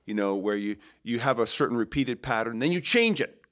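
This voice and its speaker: A man's voice: mid-range voice, a little fast. A man who leads church worship, talking casually in a podcast chat, stammering a little as he thinks. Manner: animated, sings snippets and stammers when thinking. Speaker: worship leader.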